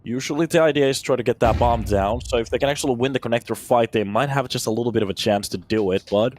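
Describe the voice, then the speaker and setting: A man in his thirties, raised in Finland, giving lively solo play-by-play on a shooter match and chatting with viewers between rounds. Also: medium pitch, quick pace, slight background noise. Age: 30s. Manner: energetic, chatty, answers viewer questions between plays. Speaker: esports caster. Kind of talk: solo play-by-play commentary with chat replies.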